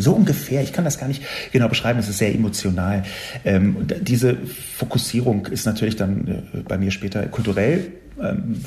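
A man from West Berlin holds forth as a columnist, arguing with animated emphasis and frequent filler sounds. His mid-range voice bounces around a reverberant room. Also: mid-range voice. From West Berlin, Germany. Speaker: columnist responding to reader comments. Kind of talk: monologue commentary.

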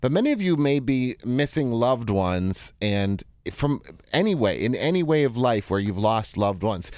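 Hoarse guy hosting a radio advice show, earnest, asks straight questions, dead dry studio sound. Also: mid-range voice, sing-song, normal speed, a little mellow, no room sound. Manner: earnest, coaching with direct questions. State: hoarse, voice strained from a neck injury. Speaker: radio call-in host and therapist.

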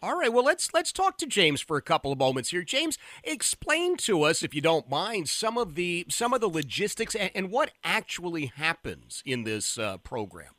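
A man from the Philadelphia area hosting a radio talk show, speaking loudly and emphatically with fillers and restarts. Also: sits high, big pitch swings, normal speed, hardly any pauses, no echo. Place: radio studio. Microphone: studio microphone.

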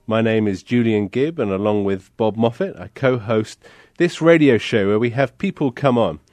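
A man's voice: fairly low pitch, lively intonation, moderate pace, darkish tone, no room sound. A man co-hosting a radio interview, asking friendly, rambling questions full of fillers. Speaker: radio co-host.

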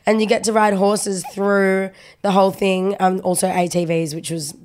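A woman's voice: mid-range voice, little pitch movement, moderate pace, a little mellow. A woman co-hosting a podcast, chatty and a little sweary, sounding nasal from a cold. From Australia. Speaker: podcast co-host. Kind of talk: casual chat.

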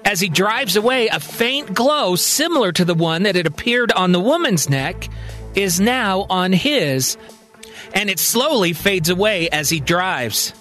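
A man narrating a science-fiction story in a calm, conversational storytelling manner. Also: sits high, unhurried, faint music behind. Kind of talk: spoken sci-fi story narration.

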